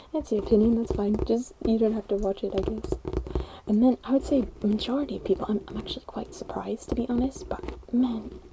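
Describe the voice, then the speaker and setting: A young woman with a put-on posh British accent, whispering a gentle, rambling ASMR chat into a close microphone. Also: a little high, fairly even intonation, normal speed, noisy background. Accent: put-on posh British English. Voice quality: whispered, hushed. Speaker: ASMR creator. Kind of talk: soft-spoken ASMR chat. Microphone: close sensitive ASMR microphone.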